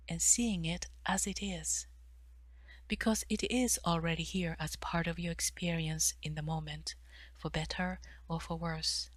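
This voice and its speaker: Woman softly guiding meditation, lowish voice. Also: no echo. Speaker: meditation guide, therapist. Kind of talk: guided meditation narration.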